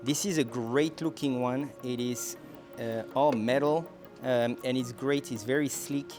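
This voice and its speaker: A man with a medium-pitched voice presents a product comparison. He explains features step by step in a conversational, semi-scripted style. Music plays behind his voice. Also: fairly even intonation, unhurried, darkish tone.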